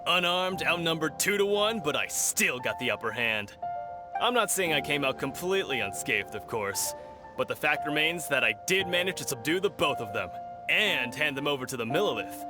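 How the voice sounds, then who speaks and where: A man's voice: a little high, very animated, moderate pace. A man voicing a boastful, cocky amateur brawler who tells his tales with swagger in an anime game. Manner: bragging, swaggering storytelling. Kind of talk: anime game character dialogue. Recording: game music behind the voice.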